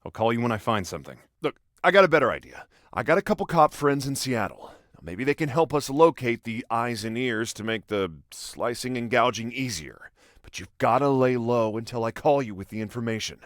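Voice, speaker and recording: A male actor plays an American police officer from a video game, a protective big-brother type with a sarcastic edge. His pitch moves around a lot as he cautions and needles. The recording is close and dry. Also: lively intonation, brisk, fairly bright tone, no echo, lightly acted. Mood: cautioning, firm. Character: exasperated protective adult cop, sarcastic older brother.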